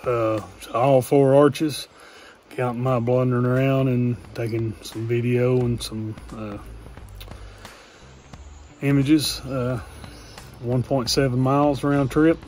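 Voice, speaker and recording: A man's voice: medium pitch, slow, pauses often, a little hiss or hum. A young man narrating his hike outdoors in a forest, talking casually into a phone held at arm's length, with plenty of "uh" fillers. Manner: relaxed, practical, many "uh" fillers. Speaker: hiking vlogger.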